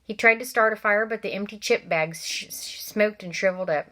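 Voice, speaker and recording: A woman, a teacher, reading a novel aloud to children, expressive and stopping for asides to her listeners. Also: mid-range voice, brisk.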